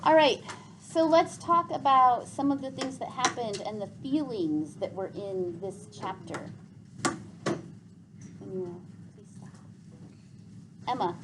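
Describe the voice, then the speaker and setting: A woman speaks as a teacher leading a read-aloud and class discussion. Her voice sits a little high and moves slowly, restating points for the group. There is clear noise behind her. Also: animated. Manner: restates and sums up what others say.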